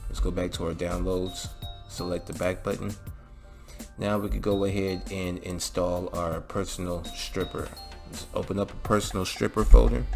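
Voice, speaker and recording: Male tutorial host, very deep voice with gravelly fry, casual and instructional, music underneath. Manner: instructional, often checks in with "all right" and "okay". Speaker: video host giving mod installation tutorial. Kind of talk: casual step-by-step screen tutorial narration.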